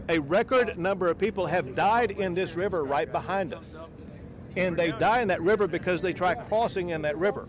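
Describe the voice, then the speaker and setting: A man speaking as a governor at a press conference, emphatic and forceful, outdoors by a river. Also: high-pitched. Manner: forceful, emphatic political speech. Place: outdoors by a river border crossing.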